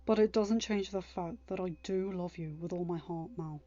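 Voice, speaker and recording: A woman's voice: lowish voice, moderate pace, mellow, no echo, dull audio, natural voice. A woman voicing a devoted young royal man, fiercely protective and emphatic, in a storybook reading. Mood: tender, earnest. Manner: emphatic, stern, near a growl when angry.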